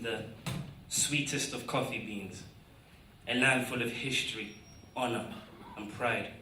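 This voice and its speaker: A man's voice: mid-range voice, pushed and bright, a little hiss or hum. A young man reading his own poem aloud at an event with a rhythmic, declamatory delivery. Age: young adult. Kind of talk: poetry reading. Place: indoor event room. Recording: roomy hall, distant mic.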